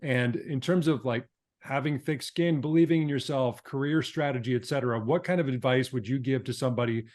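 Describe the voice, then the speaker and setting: A man's voice: mid-range voice, brisk, a little mellow. A man co-hosting a podcast and interviewing a guest in a casual conversational style.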